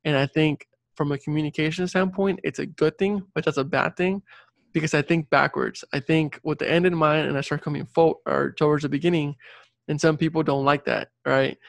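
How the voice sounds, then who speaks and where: A man's voice: fairly high pitch, steady tone, brisk, gravelly, dry recording. A young man whose first language is Spanish, talking casually and earnestly as an interview guest, repeating points for emphasis. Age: late 20s or 30s. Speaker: interview guest, civil engineer and career coach.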